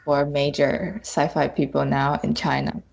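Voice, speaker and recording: A woman guest on a podcast, chatting casually and haltingly as she searches for words. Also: sits low, level tone, creaky, a little mellow, low-quality recording. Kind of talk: casual conversation.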